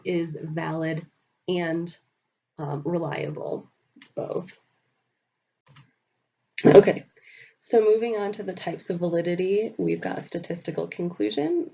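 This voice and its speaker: Nasal woman with a gravelly low voice. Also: dark tone, lo-fi sound. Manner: many fillers, explanatory. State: recovering from illness, nasal. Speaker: student presenter. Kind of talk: recorded slide presentation.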